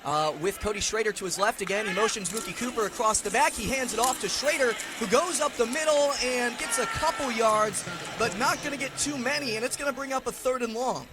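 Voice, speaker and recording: A very high-pitched man delivers live radio sports commentary over clear background noise. He follows the action excitedly and breaks into shouts when the play gets big. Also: fairly quick. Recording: clearly noisy live broadcast sound.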